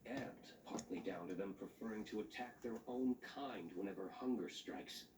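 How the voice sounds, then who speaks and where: Man acting a low-voiced, aloof, shrewd gentleman. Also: moderate pace, natural voice. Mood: matter-of-fact. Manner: cool, formal, probing. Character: composed, shrewd, aloof gentleman monster hunter. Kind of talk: video game dialogue. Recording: roomy distant game audio with noise.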